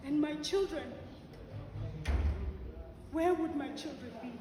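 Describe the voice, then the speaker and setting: An actress plays a defiant, wounded South African mother standing trial, her voice high and theatrical. It rings through a big echoey auditorium, picked up from far away with noise behind it. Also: takes it slowly. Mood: anguished, indignant. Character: defiant, grieving 32-year-old mother on trial. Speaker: stage actress. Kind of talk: theatrical courtroom stage acting. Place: large auditorium stage.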